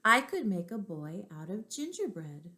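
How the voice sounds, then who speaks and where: A woman's voice: medium pitch, big pitch swings, measured pace, pushed and bright. Woman reading as a kindly little old woman. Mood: wistful, hopeful.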